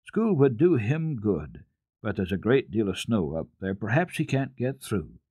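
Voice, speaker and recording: A man's voice: on the low side, very animated, a little slow, darkish tone, slightly rough, no echo, studio quality, exaggerated voice. A man reads as a meek male village schoolteacher in a children's storybook. The delivery is mild, resigned and excusing, with a varied melody. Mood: mild resignation.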